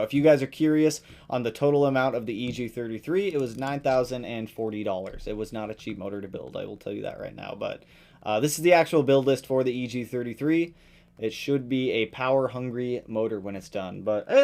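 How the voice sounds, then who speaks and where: A man hosting a casual live stream, reading out viewer questions and answering them in a chatty, relaxed way, his voice tiring after a long session. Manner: greets viewers loudly with sudden jumps in pitch.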